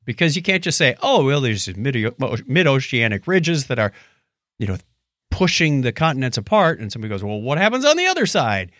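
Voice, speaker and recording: A fast-talking man co-hosting a casual science chat, animated and given to acting out little quips. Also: mid-range voice, no echo, studio quality. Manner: animated, acts out quips in mock voices. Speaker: podcast co-host.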